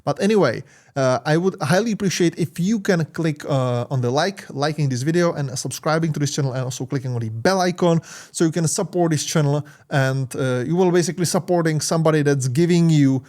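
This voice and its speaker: Tired Czech-accented man, halting tutorial. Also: on the high side, darkish tone. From Czech Republic. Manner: halting, many "uh" fillers and restarts. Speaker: marketing channel host. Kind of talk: screen-share tutorial talk.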